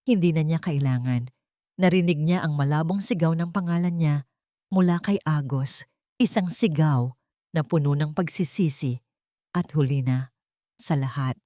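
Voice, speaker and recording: A woman with a Filipino accent reads a dramatic story from a script. Her voice sits low for a woman, and the recording is dry and close, with no room sound. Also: unhurried, long pauses, warm tone, no room sound. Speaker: narrator.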